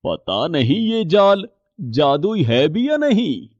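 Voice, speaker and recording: A man playing a poor, humble husband in a moral story, with a drawn-out, plaintive delivery. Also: fairly high pitch, big pitch swings, heavily acted. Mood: doubtful. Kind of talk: moral storybook cartoon voice acting. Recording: muffled, roomy echo, faint music.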